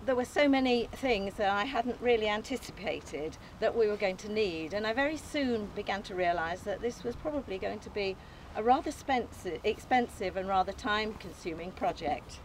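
A woman's voice: medium pitch, lively intonation, moderate pace, few pauses, a little hiss or hum, poor audio. Older woman giving an outdoor speech. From England. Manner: earnest and modest, with small hesitations and light laughs. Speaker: allotment group founder giving an opening speech. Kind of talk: prepared speech read from notes. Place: outdoors on an open field site. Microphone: camera at a distance, no mic.

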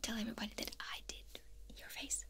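A woman in her twenties speaking in a soft, breathy whisper, gently giving close-up personal attention in an ASMR style. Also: a little fast. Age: 20s. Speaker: ASMR host. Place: small furnished room indoors. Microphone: close ASMR microphone, off screen.

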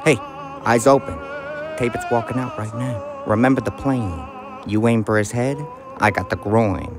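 An actor playing a laid-back mobster sidekick in a comedic crime audio drama, talking in casual slang. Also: male voice, lowish voice, a little slow, over music, natural voice. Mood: focused. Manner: casual, foul-mouthed banter.

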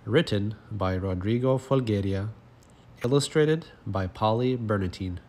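A man narrating a children's picture book as a read-aloud, in an expressive storytelling manner. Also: lowish voice, a little slow.

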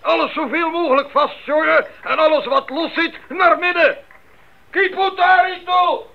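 A commanding expedition leader in an eighties radio play. He shouts orders in a very high, gravelly voice over clear background noise. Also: steady tone, a little slow, full character voice. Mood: urgent, commanding. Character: commanding male missionary leader raising a storm alarm. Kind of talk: 1980s Dutch radio play acting. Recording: muffled old recording, loud storm-like noise.

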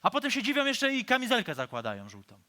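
A man acting a fussy, simple-minded man in love for an operetta radio play. His voice is high and tense, leaping up and down as he grumbles and mutters. He comes across as comically uptight and indignant. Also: huge pitch swings, fast, sharp tone, full character voice. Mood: indignant scolding. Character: fussy, uptight, naive lovesick man, a grumbling visitor abroad.

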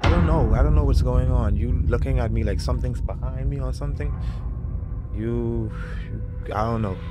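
Young male online host, mid pitch, casual and playful, music underneath. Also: normal speed, highs cut off. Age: young adult. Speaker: host of online video prank channel. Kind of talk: casual video chat and announcements to viewers. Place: small bedroom. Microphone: boom-arm studio mic and headphones. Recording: music behind the voice.

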